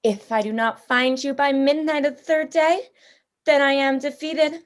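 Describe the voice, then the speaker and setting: Woman playing a high-voiced, pompous huntress general. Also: steady tone, normal speed, lightly acted. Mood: eager menace. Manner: pompous, theatrically polite, toying. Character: suave, genteel, predatory female general who hunts people. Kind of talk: radio play acting.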